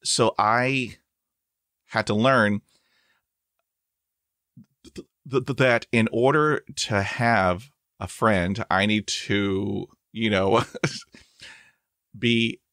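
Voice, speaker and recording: A man's voice: on the low side, steady tone, very slow, pauses often, a bit bright, no echo, studio quality. A man in his forties who stutters, hosting a podcast in a casual, emphatic interview chat. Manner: stutters, with repeated sounds and fillers; emphatic, self-affirming. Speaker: podcast host.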